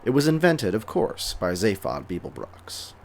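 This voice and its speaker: A man's voice: on the low side, huge pitch swings, normal speed, creaky. A man narrating scripted explainer segments for a geek culture show.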